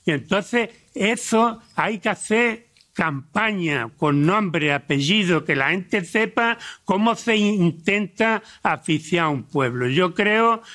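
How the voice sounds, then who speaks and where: A man's voice: sits high, expressive, takes it slowly, hardly any pauses, fairly bright tone. Older man giving an emphatic rallying speech. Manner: emphatic, rallying, ends in a slogan. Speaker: activist speaker at a forum. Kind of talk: political speech. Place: large parliamentary meeting hall. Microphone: desk microphone.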